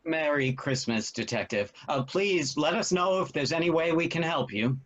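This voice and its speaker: A man's voice: on the high side, animated, normal speed, a bit bright. An actor playing a worried but courteous older husband and father in a table read. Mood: resigned, courteous. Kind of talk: stage comedy-drama table read. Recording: dull lo-fi online call audio.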